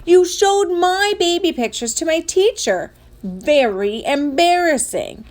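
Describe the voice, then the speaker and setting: A woman's voice: very high-pitched, lively intonation, slow, pitched above the performer's own voice, exaggerated voice. A woman voicing an exasperated, embarrassed young boy in a children's story, whiny and dramatic.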